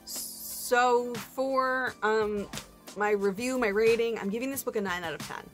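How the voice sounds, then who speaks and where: A woman's voice: fairly high pitch, unhurried. Casual female book vlogger over background music. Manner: informal and self-deprecating, with slangy asides. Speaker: book review host. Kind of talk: casual, chatty solo book review.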